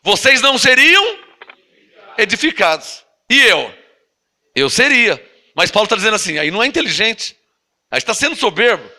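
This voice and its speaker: A man with a Brazilian Portuguese accent preaching a Bible-teaching sermon. He reads scripture slowly and drawn out, then explains it emphatically and prods listeners with rhetorical questions. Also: sits high, very animated, sharp tone. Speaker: apostle preaching to a congregation.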